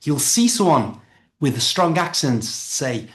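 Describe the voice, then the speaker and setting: Man, Liverpool accent, conversation tutor, animated pitch, casual explaining. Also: unhurried. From Liverpool, England. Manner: lively explaining, with accent impressions.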